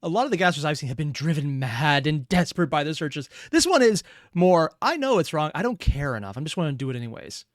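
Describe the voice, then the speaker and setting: A man's voice: on the high side, very animated, fast, no echo, studio quality. A man giving casual, analytical reaction commentary, musing aloud as he thinks through what he watches. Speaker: reaction video host.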